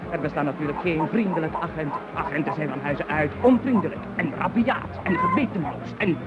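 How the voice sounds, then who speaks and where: A man's voice: a little high, fairly quick, natural voice. An actor playing a confused, irritable man who rambles and swings into pompous outbursts, in a radio play. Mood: ranting. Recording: noisy old radio play recording.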